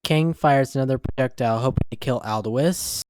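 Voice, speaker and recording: A man's voice: mid-range voice, moderate pace, a little mellow, slight background noise. A man reading scripted narration for a comic-book dramatic story. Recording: music behind the voice.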